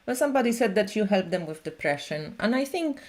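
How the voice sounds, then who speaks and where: A woman who reads tarot, chatting on a live stream in an animated way with many fillers. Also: mid-range voice, fast talker, a loud crowd. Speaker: tarot reader and channel host. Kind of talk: casual conversation on a live stream.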